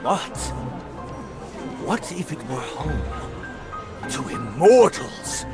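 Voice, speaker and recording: Theatrical, slow storyteller over loud music. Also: male voice, medium pitch, very animated. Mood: knowing, dramatic. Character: theatrical male teahouse storyteller spinning legends. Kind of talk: dramatic storytelling in a video game.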